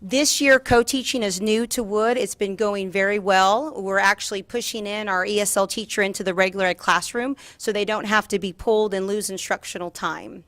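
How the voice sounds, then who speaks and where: A woman's voice: mid-range voice, steady tone, moderate pace, few pauses, a bit bright, no room sound. Woman presenting formally, dry close sound. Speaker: school district administrator presenting a report. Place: school board meeting room.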